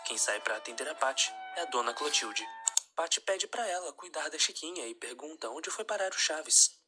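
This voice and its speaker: A man reading a scripted narration of a dark story. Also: a little high, lively intonation, moderate pace, over music.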